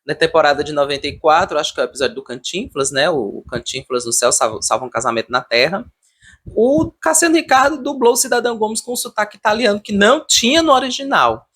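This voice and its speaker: A man's voice: high-pitched, lively intonation, fast, fairly bright tone, husky. A Brazilian man giving casual, unscripted commentary as a video reviewer, repeating words and leaning emphatically on his opinions.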